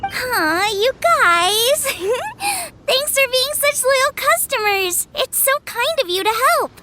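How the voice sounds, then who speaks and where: A woman playing a bubbly, energetic, friendly young cook in a video game, perky and eager. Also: very high-pitched, takes it slowly, noisy background, exaggerated voice. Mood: cheerful, friendly. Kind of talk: animated video game voice acting.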